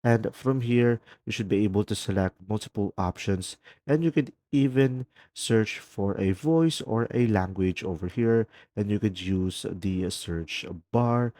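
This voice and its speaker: A man narrates a step-by-step tutorial in a casual style. His voice sits on the low side, with a dark, relaxed tone. The sound is very dry, with no room around it. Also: unhurried, dry recording. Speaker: tutorial host.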